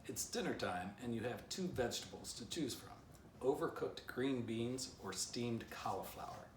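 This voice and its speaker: A man's voice: mid-range voice, lively intonation, normal speed. A man narrating a children's storybook as its author, with playful asides straight to the listener. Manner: playful direct address to the listener. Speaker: author reading aloud. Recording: roomy, distant mic, faint noise.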